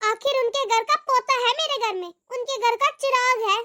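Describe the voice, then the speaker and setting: A woman voicing a defiant, scornful middle-aged mother who scolds emphatically in an animated family drama. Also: very high-pitched, normal speed, dry recording, clean studio sound. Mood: scornful, dismissive. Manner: scolding, emphatic, rhetorical questioning.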